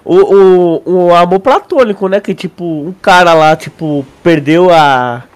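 Male podcast co-host, young, Brazilian accent, high register, informal and slangy delivery. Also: measured pace. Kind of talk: casual chat.

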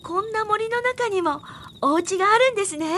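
A woman's voice: extremely high pitch, lively intonation, normal speed, a bit bright, a little hiss or hum. An actress playing a shy, polite young woman with a timid, hesitant manner in a radio drama.

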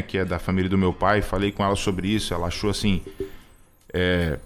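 A man in his thirties talks in an earnest, halting way, often restarting his sentences. His voice rises and falls a great deal. Faint music plays behind him. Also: on the low side. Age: 30s. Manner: hesitant, many restarts and fillers, earnest. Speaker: radio program co-host.

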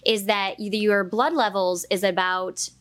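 A woman's voice: mid-range voice, fairly even intonation, a little slow, a bit bright, no echo. A woman hosting an interview and coaching, asking upbeat, encouraging questions. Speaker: host and coach.

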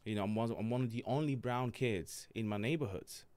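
Animated Italian-born man telling stories. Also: medium pitch, fairly quick, dry recording. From Sicily, Italy. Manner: animated storyteller, laughs often, acts out voices. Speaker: comedian guest. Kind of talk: casual podcast chat.

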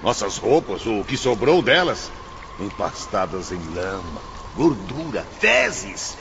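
An actor playing a bitter, quarrelsome, scornful lost soul in a theatrical radio drama. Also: male voice, lowish voice, very animated. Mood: weary disgust. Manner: slow, emphatic, scornful. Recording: muffled, noisy radio drama.

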